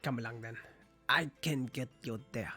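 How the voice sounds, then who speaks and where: A person voicing an energetic older male professor in a visual novel, measured, cautious and instructive. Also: medium pitch, breathy edge, faint music behind, voice pushed down. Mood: brisk, encouraging. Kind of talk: visual novel character read-aloud.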